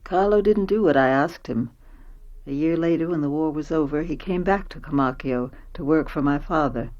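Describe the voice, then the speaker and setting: A woman's voice: deep voice, normal speed, darkish tone, highs cut off, natural voice. A woman acting a self-possessed wife who narrates her past in a radio play. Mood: matter-of-fact, rueful. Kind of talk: dramatic scripted first-person narration.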